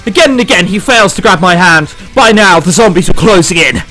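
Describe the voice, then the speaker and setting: Very animated, high-voiced man over music. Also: brisk. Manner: incredulous, animated, sweary. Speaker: gamer reading and reacting to a visual novel. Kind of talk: let's play commentary, reading aloud with running jokes. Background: game background music.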